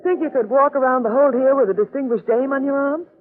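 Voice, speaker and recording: A woman's voice: sits high, fast, close to an everyday voice. A woman playing a spirited, fond wife in an old-time radio drama, with a coaxing, playful manner. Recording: narrow, tinny old radio recording.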